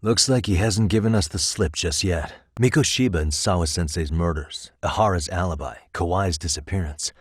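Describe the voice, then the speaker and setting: A man in his thirties voicing a calm, analytical private detective in a video game, speaking in a measured, conversational way. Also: deep voice, husky, no room sound, clean studio sound. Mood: pensive, focused. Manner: measured, methodical, reasoning aloud. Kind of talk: English video game voice acting, conversational dialogue.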